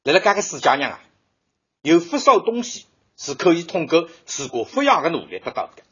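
A showy television host in a radio drama, very raspy and forceful, with lively swings. It sounds muffled over faint music. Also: male voice, fairly high pitch, fairly quick, exaggerated voice. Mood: presenting. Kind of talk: TV presenter patter in radio drama.

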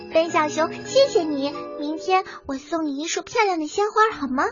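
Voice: very high voice, expressive, moderate pace, lo-fi sound, music in the background. A performer voicing a sweet, gleeful and polite little rabbit child in a children's audio story.

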